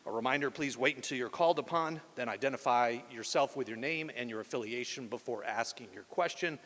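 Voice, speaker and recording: A man's voice: mid-range voice, a bit bright. A man moderating a press conference with scripted remarks in a polished announcer delivery. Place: large indoor arena press conference. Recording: echoey hall with long reverb.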